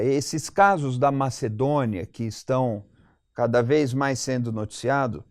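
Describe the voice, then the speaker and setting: A man's voice: mid-range voice, darkish tone, no room sound, clean studio sound. A Brazilian man giving analytical commentary as a guest in a panel debate, articulate and explanatory, repeating points for emphasis.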